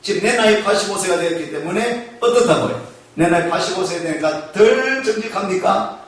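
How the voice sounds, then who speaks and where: Male pastor, high voice, call-and-response sermon, very reverberant church, distant mic. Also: animated. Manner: call-and-response preaching, asking the congregation questions; slows to stress key phrases. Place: church sanctuary. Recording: echoey church hall, mic far off.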